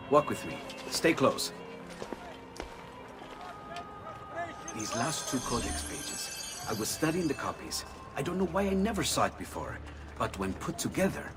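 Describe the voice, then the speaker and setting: An excitable, clever inventor in a video game , acted by a man, with an Italian accent. His mid-pitched voice is urgent and very expressive, set slightly back with clear music behind it. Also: recorded from a distance, close to an everyday voice. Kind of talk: video game cutscene acting.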